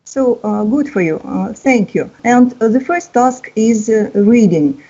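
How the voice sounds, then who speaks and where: A woman's voice: fairly high pitch, animated, measured pace, mellow, slightly rough. Hesitant woman teacher in her fifties. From Russia. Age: 50s. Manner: hesitant, many uh fillers, encouraging. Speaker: English teacher. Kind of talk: online exam-prep lesson. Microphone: video call. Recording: dull lo-fi call audio.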